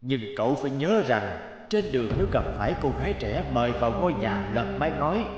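An actor playing a stern but benevolent old mountain god, solemn and admonishing, in a children's fairy-tale cartoon. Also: male voice, medium pitch, big pitch swings, quick pace, dull audio, heavy background noise, lightly acted. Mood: warning. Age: older.

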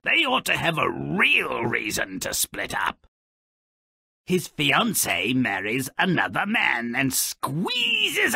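A grubby, cynical imp narrating twisted fairy tales in a video game. The voice is raspy and harshly bright, drawn out at a slow, theatrical pace. The delivery is mocking and gleefully hammy. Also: male voice, mid-range voice, no room sound, clean studio sound, exaggerated voice. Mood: scornful, gleeful. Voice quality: gravelly, raspy.

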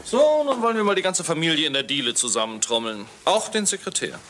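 An actor playing a brisk, commanding, sardonic police inspector in a German radio crime drama. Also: male voice, a little high, very animated, noisy background.

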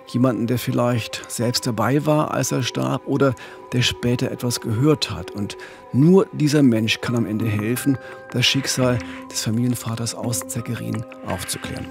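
A middle-aged man with a standard German accent hosts a true-crime podcast. His voice is mid-pitched with a creaky edge, close-miked in a studio, and music plays behind him. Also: fairly even intonation, normal speed. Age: 50s. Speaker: podcast co-host. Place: small indoor recording studio. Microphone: close studio microphone on boom arm.

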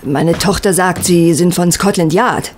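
A woman's voice: lowish voice, a little slow, a little hiss or hum, lightly acted. An actress playing a proper, protective middle-aged landlady in a radio play, speaking earnestly. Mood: guarded. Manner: earnest, proper, narrating in detail. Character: proper, protective middle-aged mother running a boarding house. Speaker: actor in an audio drama. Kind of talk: German radio play dialogue.

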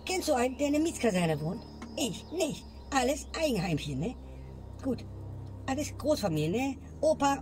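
A woman's voice: high-pitched, noisy background. A woman ranting in a comedy sketch and ending her lines with a questioning tag. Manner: grumbling, tags lines with "ne?". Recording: music bed behind voice. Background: music.

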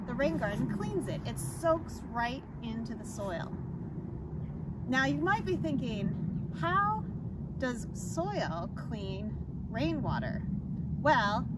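A woman giving an animated, instructive explainer to camera out in a yard. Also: a little high. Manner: animated, instructive, gesturing as she walks. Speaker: rain garden educator, presenter. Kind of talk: outdoor educational explainer to camera. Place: snowy residential yard outdoors. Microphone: camera several metres away. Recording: distant mic outdoors, loud ambient noise.

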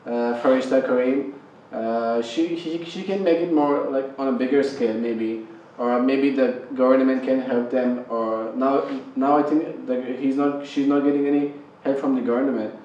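Halting man. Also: mid-range voice, fairly even intonation, a little mellow, far from the mic, dull audio, slight background noise. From Pakistan. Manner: hesitant, halting, many fillers. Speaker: panelist. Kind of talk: personal discussion. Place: studio with panel on a couch. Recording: echoey studio sound from off-mic.

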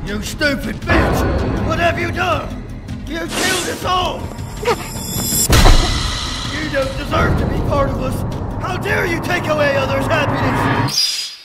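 Man acting a smug, slow-talking manic fungal doctor. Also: very high voice, loud music behind the voice. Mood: enraged, panicking. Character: fungus-infected male doctor, smug, manic cult-like persuader. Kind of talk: comic dub voice acting.

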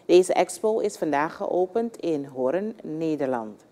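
Woman doing a news voiceover, mellow and kinda low. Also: animated, slow. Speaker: news reporter. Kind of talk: scripted news narration.

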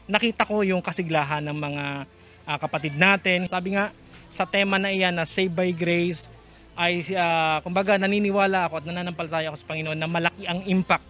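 A man's voice: high-pitched, fairly even intonation, brisk, bright, forceful tone. A man talking as a church member, hesitant with many fillers.

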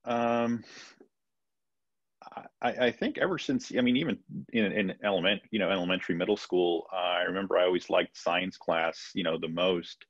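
Man in his 30s, physician guest, deep husky voice, halting speech full of fillers, dull video call audio. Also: no room sound. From Peru, raised in Florida. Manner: hesitant, many fillers and restarts. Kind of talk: casual interview answers. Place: home room, video call.

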